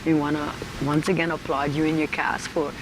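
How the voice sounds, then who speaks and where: A woman hosting a television interview in a measured, formal manner. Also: low-pitched, little pitch movement. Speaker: talk show host. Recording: noticeable background noise.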